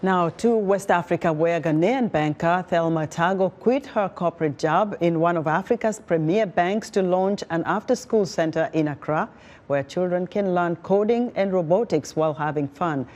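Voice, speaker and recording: A woman's voice: fairly low pitch, normal speed, a little mellow. A woman reading scripted news as an anchor.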